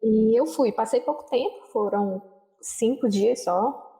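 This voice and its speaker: A young adult woman, a guest in a casual interview, telling travel stories in a relaxed, rambling way with frequent tag questions. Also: on the high side, unhurried, low-quality recording. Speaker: guest, social worker.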